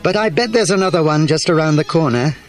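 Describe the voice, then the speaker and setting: A male narrator telling a children's tale. His voice is a bit high and very animated in its rise and fall, with music playing behind. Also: fast talker. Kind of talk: scripted children's story narration. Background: instrumental music.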